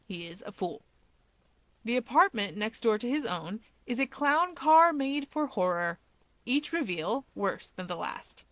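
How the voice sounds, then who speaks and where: A woman reading a story aloud as narrator, with expressive scripted delivery. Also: a little high, a little slow, no room sound.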